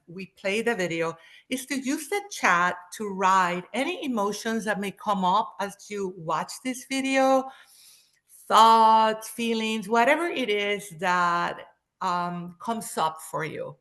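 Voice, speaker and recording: A woman's voice: mid-range voice, animated, measured pace, fairly bright tone. A woman from Panama, presenting a webinar as a linguist in an enthusiastic, welcoming way over a video call.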